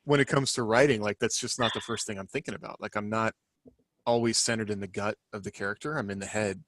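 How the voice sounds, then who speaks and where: Man, slightly low pitch, dry room-free sound, casual podcast chat, halting, self-deprecating. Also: fairly even intonation, fairly bright tone, no echo. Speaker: podcast panelist and writer.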